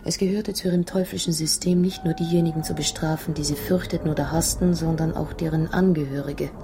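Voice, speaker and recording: An anguished, low-voiced wife in hiding. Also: female voice, steady tone, fairly quick, background noise. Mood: haunted, grave. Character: anguished Italian wife recounting a murderous secret society's threat. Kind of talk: German radio drama monologue.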